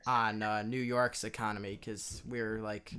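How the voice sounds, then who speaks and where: A man's voice: fairly low pitch, flat delivery, unhurried, pushed and bright, clear, resonant tone. A man hosting a podcast, chatting in an easygoing way with frequent fillers and small laughs. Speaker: podcast host. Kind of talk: casual conversation.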